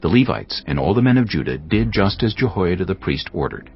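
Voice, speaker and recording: A man narrating scripture in a measured, solemn reading. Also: low voice, big pitch swings, highs cut off.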